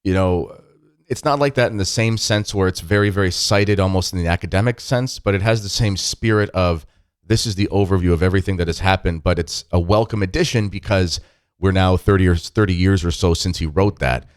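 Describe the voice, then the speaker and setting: Deep-voiced guy hosting a podcast, chatty and rambly, dry close sound. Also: brisk, clear, resonant tone, dry recording. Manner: friendly, rambling, with many fillers. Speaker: podcast host. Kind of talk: conversational interview podcast.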